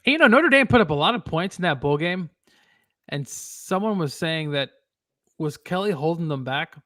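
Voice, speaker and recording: A man's voice: fairly high pitch, expressive, normal speed, fairly bright tone. A man co-hosting a casual football picks show, loose and filler-heavy, laughing along. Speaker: sports picks co-host. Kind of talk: casual sports betting chat.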